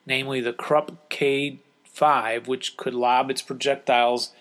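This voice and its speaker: A man's voice: medium pitch, little pitch movement, measured pace, a bit bright. A man hosting a podcast, reading listener letters and chatting casually with an explanatory, enthusiast manner. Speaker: podcast host.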